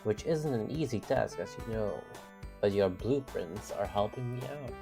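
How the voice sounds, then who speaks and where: A man's voice: lowish voice, expressive, normal speed, gravelly, over music. A man voicing a thoughtful, cautious strategist in an amateur cartoon comic dub. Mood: pondering.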